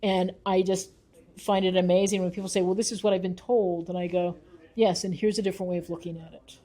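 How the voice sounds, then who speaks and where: Mellow-voiced woman, emphatic in conversation. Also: on the low side, little pitch movement, brisk. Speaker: interviewee, researcher and writer. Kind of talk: conversational interview.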